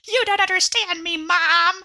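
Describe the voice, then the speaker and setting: A woman playing a tabletop roleplaying game, talking casually and in character, animated and playful. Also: extremely high pitch.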